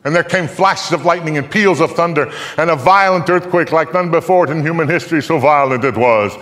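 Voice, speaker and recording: An older man giving a theatrical live recitation of scripture in a solemn, deliberate, emphatic manner. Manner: solemn, deliberate, emphatic, swelling from hushed to booming. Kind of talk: live dramatic reading, theatrical storytelling recitation. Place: theatre stage before a live audience. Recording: echoey theatre hall, long reverb.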